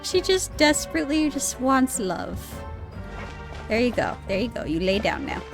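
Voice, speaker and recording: A woman's voice: fairly high pitch, big pitch swings, moderate pace, creaky. A woman live streamer chatting casually as she plays a video game, rambling, giggly and free with swearing. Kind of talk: casual gameplay stream chat. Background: game music.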